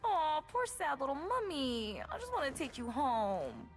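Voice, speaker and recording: A woman voicing a dark-humored, cocky female rebel warrior for a video game, with a deadpan, sarcastic manner. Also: sits high, unhurried, soft background music, exaggerated voice. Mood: mock pity. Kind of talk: game voice lines.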